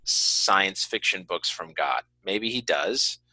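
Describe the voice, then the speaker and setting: A man with a slightly low voice and a strikingly bright, forceful edge, recorded close and dry. He talks like a podcast host in casual conversation, earnestly explaining his view with measured qualifications. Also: expressive, no echo, poor audio. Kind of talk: casual conversational interview talk.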